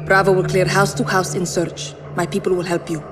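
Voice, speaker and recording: A woman's voice: lowish voice, flat delivery, over music, lightly acted. Actress as grave, resolute rebel commander woman. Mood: grave, determined. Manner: grave, measured, determined. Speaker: rebel militia leader. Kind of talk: video game briefing and dialogue.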